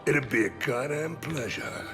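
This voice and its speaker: Gravelly, hard-bitten mercenary. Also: male voice, medium pitch, animated, measured pace. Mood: menacing. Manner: gruff, blunt, swearing. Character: gruff, hard-bitten male mercenary, menacing and sardonic. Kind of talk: video game voice acting. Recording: music behind the voice.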